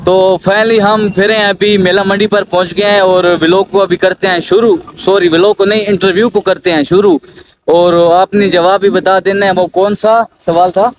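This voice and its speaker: A man's voice: high-pitched, level tone, moderate pace. A man with a Saraiki accent from Mianwali, chatting and joking as he walks through an outdoor market for his vlog. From Mianwali, Pakistan. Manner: joking, chatty, bargains with vendors. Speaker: vlogger. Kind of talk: casual vlog walk-through. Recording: noisy outdoor street sound. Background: street and traffic noise.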